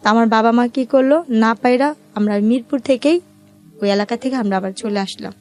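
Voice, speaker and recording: Woman, Bangladeshi accent, slightly high pitch, interview guest, personal storytelling, colloquial, light background music. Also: normal speed, darkish tone. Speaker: interview guest telling her life story.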